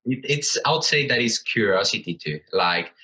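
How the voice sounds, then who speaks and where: A man's voice: on the low side, expressive, normal speed, bright, forceful tone, breathy edge. A man in his thirties from Colombia, whose first language is Spanish, answering interview questions thoughtfully, with frequent fillers and restarts. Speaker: guest, company co-founder.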